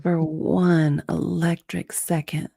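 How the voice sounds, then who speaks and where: A woman's voice: sits low, flat delivery, slow pace, creaky, mellow, husky, no echo, clean studio sound. A woman narrating a romance story as a dramatic reading, in a sultry, suspenseful manner.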